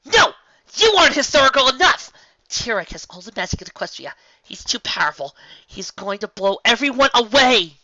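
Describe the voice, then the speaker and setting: A woman's voice: a little high, sing-song, a little fast, few pauses, no room sound, far from the mic, dull audio, voice pushed up. A woman voicing a panicked young female unicorn princess, screaming and near tears. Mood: frantic. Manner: screaming, frantic, tearful.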